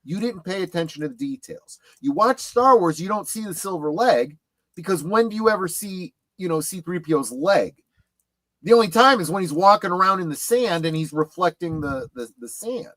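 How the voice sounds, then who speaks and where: Middle-aged man in halting chat, dry sound. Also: high-pitched, expressive, fairly bright tone, no room sound. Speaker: panelist on a fan discussion show. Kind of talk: casual chat about films and memorabilia.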